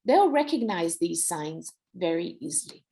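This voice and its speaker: A woman's voice: on the low side, very animated, unhurried, gravelly, mellow. A woman with a Nepali accent giving an encouraging, explanatory presentation to parents as a workshop presenter. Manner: encouraging, instructive, mixes Nepali phrases into English. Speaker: workshop presenter on early reading.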